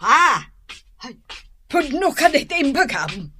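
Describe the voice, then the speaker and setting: A woman playing a fussy, blunt older mother in a radio drama, with a husky voice and an Ilocano accent. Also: high-pitched, sing-song, slow pace, sharp tone, slight background noise, full character voice. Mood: indignant.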